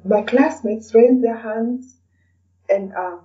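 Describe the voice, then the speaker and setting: A woman's voice: fairly high pitch, slow, mellow. A woman talking casually as an interview guest, chatty and hesitant, often adding "you know.". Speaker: podcast guest, blogger. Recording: dull, distant, faint background noise.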